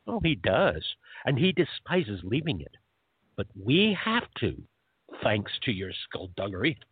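A man's voice: medium pitch, very animated, unhurried, husky, dry recording. A man playing a grumpy, blunt, sarcastic errand man in a radio play, curt and exasperated. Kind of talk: hobby radio play acting. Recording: dull lo-fi audio.

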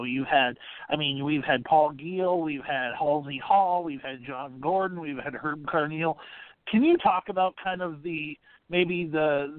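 A man with a slightly high voice speaks over a muffled, radio-like lo-fi recording with no room sound. He is an interviewer, working his way haltingly into long, conversational questions. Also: no room sound. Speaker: podcast host, interviewer.

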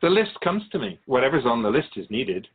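A man playing a wise, gentle, cryptic otherworldly keeper of souls in a radio drama, speaking in a measured, courteous, philosophical way. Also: medium pitch, very animated, breathy edge, narrow, tinny audio. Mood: earnest.

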